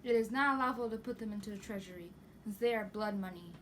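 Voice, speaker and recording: A woman's voice: mid-range voice, fast, slight background noise, close to an everyday voice. A woman reading the part of a dismissive chief priest in an amateur church dramatic reading.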